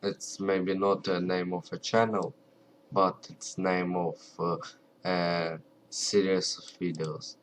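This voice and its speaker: A low-pitched man with a Ukrainian accent talks to camera in English, his second language, at a very slow, careful pace. He keeps his voice soft and often stops to search for words. A faint background noise sits under the recording. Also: flat delivery. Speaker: video creator. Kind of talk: casual talk to camera.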